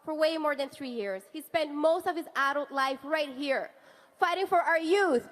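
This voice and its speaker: High-voiced woman giving a rousing rally speech. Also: normal speed. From Venezuela, raised in Canada. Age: 30s. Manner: rousing, rhetorical, builds to shouts on slogans. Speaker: rally speaker, wife of a party leader.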